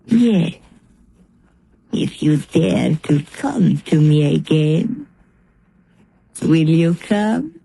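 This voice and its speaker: A woman acting an intense lady in a 1930s radio mystery, dramatic and deliberate, on a vintage recording. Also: low voice, expressive, vocal fry, warm tone, slightly rough, no room sound, heavily acted. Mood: threatening.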